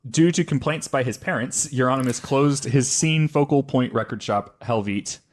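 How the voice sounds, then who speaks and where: Man telling a story, halting and self-correcting. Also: medium pitch. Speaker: podcast host. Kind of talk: casual storytelling from notes.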